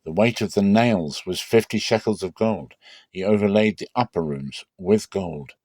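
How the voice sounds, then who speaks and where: A man giving a dramatic, solemn scripture reading with measured, deliberate phrasing. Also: on the low side, a little mellow, no room sound, clean studio sound. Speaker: Bible reader.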